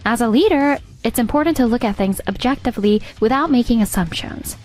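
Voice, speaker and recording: A woman's voice: fairly high pitch, a little fast, darkish tone, faint music behind, close to an everyday voice. A woman playing a composed, firm team leader with a pointed, coolly authoritative manner in a manga dub. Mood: cool, lecturing. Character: composed, firm female team leader defending her husband. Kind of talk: scripted manga dub acting.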